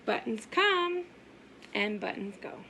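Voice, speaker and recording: High-voiced, slow woman reading to preschoolers. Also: very animated, bright, forceful tone, slight background noise. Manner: sing-song, exaggerated, asks the listeners questions and pauses. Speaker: preschool teacher reading aloud. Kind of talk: animated children's story time read-aloud.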